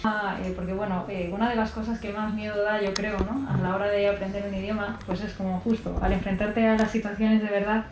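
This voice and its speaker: A woman interviewing a guest in a relaxed chat, often ending her points with a question tag. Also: medium pitch, a little fast, few pauses, over music. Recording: echoey, slightly dull sound.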